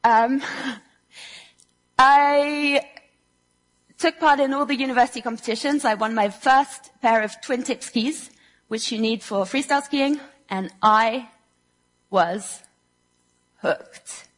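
A young woman with a slightly high voice delivers a prepared talk to a live audience. She tells personal stories with earnest conviction and an occasional dry, self-deprecating aside. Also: takes it slowly, long pauses, a bit bright, in a large hall, rough recording. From Switzerland. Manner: earnest, storytelling with dry humor. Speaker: speaker giving a talk. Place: lecture room before an audience.

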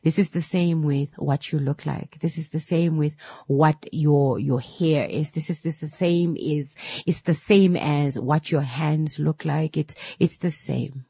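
A woman's voice: sits low, steady tone, measured pace, gravelly, dark tone, slightly rough, no room sound. A woman, hosting a reflective motivational talk and taking calls, often checking in with "right?". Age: 30s.

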